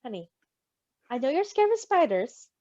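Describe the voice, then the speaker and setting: Female story reader, high-voiced and very expressive, informal, recorded dry and a little lo-fi. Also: moderate pace, no room sound. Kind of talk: animated story reading with casual asides.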